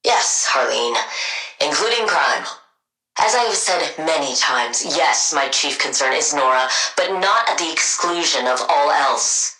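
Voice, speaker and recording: An actress playing a brilliant, haughty scientist supervillain in a video game, speaking in precise terms with a dry, deadpan, sardonic edge. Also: female voice, deep voice, measured pace, roomy sound, exaggerated voice, rough recording. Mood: measured.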